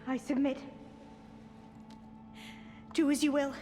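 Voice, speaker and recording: An earnest young scholar from a devout tribe in a game. Her voice is high, her wording formal and reverent, with some hesitation. Music swells behind her. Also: flat delivery, slow. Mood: resigned, solemn. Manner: formal, hesitant, solemn phrasing. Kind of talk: dramatic video game acting.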